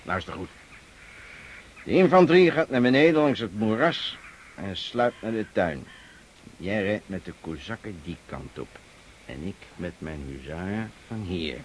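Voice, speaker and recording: An actor in a Dutch radio play playing a gruff, commanding cavalry officer who barks orders and questions. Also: male voice, deep voice, animated, unhurried, a little hiss or hum. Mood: stern, commanding. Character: brisk, commanding male cavalry officer, gruff but amused. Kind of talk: Dutch radio drama acting.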